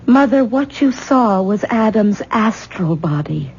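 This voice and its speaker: An actress playing an anxious, distraught young wife in a 1970s radio drama. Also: female voice, mid-range voice, lively intonation, measured pace, darkish tone. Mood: grave, urgent. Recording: dull 1970s radio recording, noisy.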